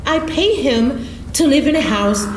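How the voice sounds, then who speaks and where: A woman's voice: sits high, lively intonation, a little slow, noisy background. Woman playing a weary single mother, roomy sound. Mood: indignant, distressed. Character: worried, weary, determined single mother fighting for her son. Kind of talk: radio play acting. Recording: roomy, music underneath.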